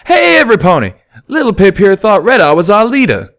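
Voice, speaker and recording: A man's voice: high-pitched, wildly swooping pitch, fairly quick, fairly bright tone, pitched above the performer's own voice, exaggerated voice. A man voicing a boisterous young stallion pony in an audiobook, incredulous, folksy and exclaiming. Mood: riotous amusement.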